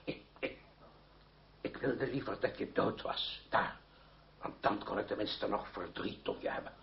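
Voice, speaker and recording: Creaky, blustering old aristocratic uncle. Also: male voice, fairly low pitch, unhurried. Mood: wounded, furious. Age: older. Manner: gruff, blustering, scolding. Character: irascible aging aristocratic uncle, blustering but wounded. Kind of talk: radio drama acting. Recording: dull old radio drama recording.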